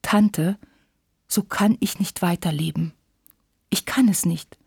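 A woman's voice: medium pitch, expressive, a little slow, warm tone, dry recording, clean studio sound. A woman voicing a self-pitying, passionate young war widow in a literary story, plaintive and insistent in manner. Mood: anguished. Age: young adult.

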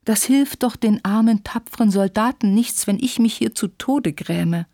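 Woman doing a young war widow, self-pitying n dramatic, pleading, breathy voice, audiobook. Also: medium pitch, animated, a little slow, warm tone, no echo, clean studio sound. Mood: plaintive, agitated. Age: young adult. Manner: plaintive, insistent, overwrought.

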